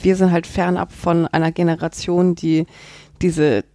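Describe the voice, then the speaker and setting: A woman's voice: on the low side, little pitch movement, a little slow, dark tone, no room sound. A young woman from Hamburg chatting casually in a wry, teasing way. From Hamburg-Harburg, Germany. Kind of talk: casual chat.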